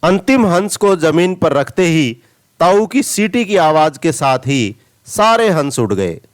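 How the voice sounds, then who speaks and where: A man narrating a scripted moral story as a storyteller, reading deliberately and expressively. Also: on the high side, very animated.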